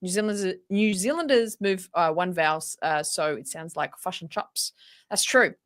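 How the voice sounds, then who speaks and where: A woman's voice: fairly low pitch, normal speed, a bit bright. An Australian woman co-hosting a casual livestream, talking in a slangy, teasing and enthusiastic way. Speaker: livestream host.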